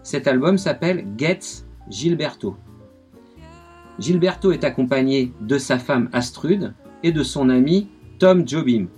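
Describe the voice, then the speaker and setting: A man's voice: medium pitch, animated, measured pace, darkish tone. A man giving a radio music history segment, telling the story with enthusiasm.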